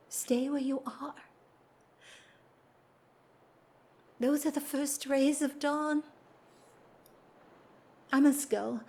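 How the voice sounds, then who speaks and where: A woman's voice: a little high, a little mellow. An actress playing a dignified married society woman in love, speaking formally and earnestly in a period audio drama. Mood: bittersweet farewell. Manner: formal, earnest, theatrical, measured delivery.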